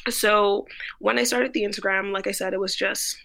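A woman's voice: mid-range voice, steady tone, normal speed, vocal fry, a bit bright, a little hiss or hum. A young woman from the Bahamas, a guest food photographer, telling her story in a chatty interview. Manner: chatty, lots of "like" fillers.

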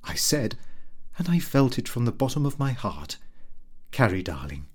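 A man's voice: mid-range voice, big pitch swings, normal speed. A man narrating a comic Victorian diary as an audiobook, in a prim, self-important way.

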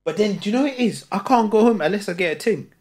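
A young man, co-hosting a podcast. He jumps up and down in pitch with lively swings. He talks loud and laughing, full of repeated fillers. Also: rapid-fire, over a noisy crowd. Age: 20s. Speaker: podcast co-host. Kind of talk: casual chat.

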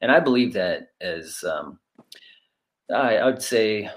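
A man's voice: fairly low pitch, lively intonation, takes it slowly. A man in his forties hosting a faith interview, speaking emphatically with fillers and restarts. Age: 40s. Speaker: host. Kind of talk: conversational interview.

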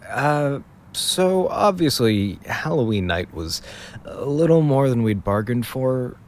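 A man's voice: medium pitch, very animated, takes it slowly, darkish tone. A man acting as a weary, hungover young investigator in an audio drama, speaking casually and hesitantly with wry understatement. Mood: rueful, confiding.

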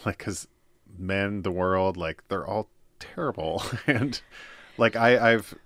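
A man with a deep, low voice hosts a casual interview and asks loose, rambling questions. He laughs often and keeps a relaxed tone. The sound is dry and close. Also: slow, no room sound. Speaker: podcast host.

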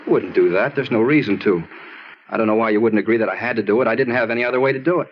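Actor as an edgy, fast-talking, self-justifying murder suspect. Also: male voice, on the low side, lightly acted. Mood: insistent, wounded. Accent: American. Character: moody, defensive male murder suspect, stubbornly self-justifying. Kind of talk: 1950s radio drama acting. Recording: muffled noisy old radio recording.

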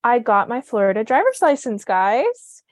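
A woman's voice: fairly high pitch, moderate pace, no room sound. Chatty woman co-host, dry close sound. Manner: animated, chatty storytelling. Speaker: podcast co-host. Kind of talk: casual chat.

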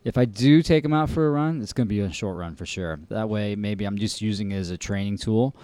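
Mellow-voiced man chatting about running gear. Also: lowish voice, a little fast, clean tone. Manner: chatty, frank, laughs easily. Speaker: podcast co-host.

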